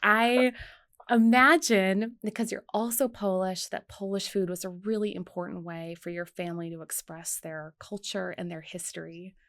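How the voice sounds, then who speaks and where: A woman's voice: mid-range voice, steady tone, moderate pace, a bit bright. A woman hosting a podcast, reading a lyrical introduction and then interviewing her guest. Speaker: podcast host.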